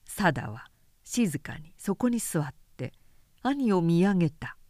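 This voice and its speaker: A woman narrates a literary period story at an unhurried pace. Her pitch moves expressively through the sentences, and the sound is dry, with hardly any room. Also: big pitch swings, a little mellow, no echo. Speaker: narrator reading a story aloud.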